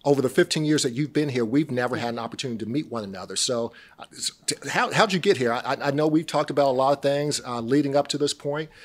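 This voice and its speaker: A man hosting an interview show from a history museum, often restarting and repeating words as he talks. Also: mid-range voice. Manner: halting, repeats words while searching for phrasing. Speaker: show host, judge. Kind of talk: interview host.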